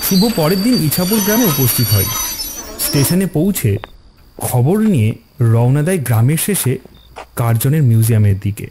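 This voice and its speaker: A man's voice: medium pitch, expressive, normal speed, long pauses, noisy background. A man narrating a scripted ghost story in a dramatic storytelling style. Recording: clear music bed under narration. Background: music.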